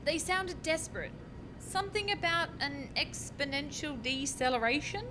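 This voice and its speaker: Woman acting a high-pitched, flustered lab scientist. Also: normal speed, noisy background, natural voice. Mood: anxious bluffing. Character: harried, exasperated young woman lab scientist, quick to bluff. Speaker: radio play actor. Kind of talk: comic radio play acting.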